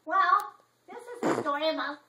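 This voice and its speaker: A woman's voice: high voice, lively intonation, moderate pace, a crowd in the background. A woman voicing an earnest, plucky Santa puppet in an improvised home puppet show. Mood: defiant. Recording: noisy echoey home video, far from mic.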